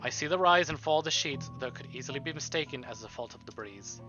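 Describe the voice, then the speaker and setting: A man's voice: mid-range voice, expressive, fast, highs cut off. A man live-streaming a visual novel, reading it aloud dramatically with casual, joking, sweary asides, over music. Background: game music.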